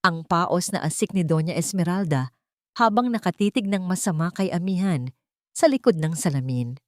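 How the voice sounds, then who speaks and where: A woman's voice: fairly low pitch, animated, normal speed, no room sound, clean studio sound. A woman reading dramatic scripted narration as an audiobook narrator.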